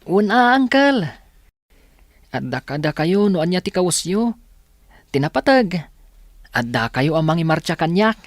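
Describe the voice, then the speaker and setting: A man's voice: on the high side, big pitch swings, measured pace, pauses often, no room sound, clean studio sound. A man with an Ilocano accent playing an earnest, respectful teenage boy in a radio drama. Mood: grateful.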